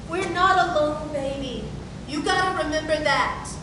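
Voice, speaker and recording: A woman's voice: moderate pace, bright, forceful tone. A woman playing a devout, reluctant, protective older mother in a stage tragedy reading. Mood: reluctant, caring. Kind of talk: theatrical table reading of Southern Gothic tragedy. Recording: very echoey, distant room with rumbling noise.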